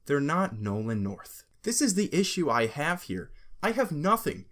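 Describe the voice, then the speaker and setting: Young man, lively swooping delivery, slightly high voice, sarcastic, joking, profane, casual scripted gaming commentary. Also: measured pace. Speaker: video essay host.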